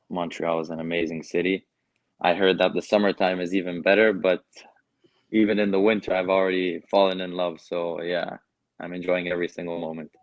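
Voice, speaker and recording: Young man, Canadian accent, very deep voice, dry close sound, easygoing, hesitant. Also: no room sound. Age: young adult. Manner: relaxed, hesitant with many fillers. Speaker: guest soccer player. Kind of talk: casual interview answers.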